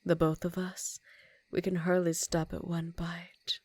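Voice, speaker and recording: A woman's voice: on the low side, little pitch movement, unhurried, darkish tone, dry recording. A woman voicing a seductive, commanding vampire countess in an intimate ASMR roleplay, teasing and often dropping into whispers. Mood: seductive, amused.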